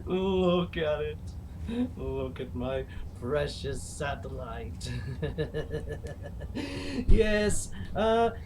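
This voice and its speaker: A performer voicing a deluded mad-scientist villain who calls himself a genius, rambling comically and haltingly as a show host, prone to cackles. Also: mid-range voice, lively intonation, noisy background. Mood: gloating, rambling. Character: gleefully deluded mad-scientist villain, self-proclaimed genius bent on revenge. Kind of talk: rambling comic in-character monologue.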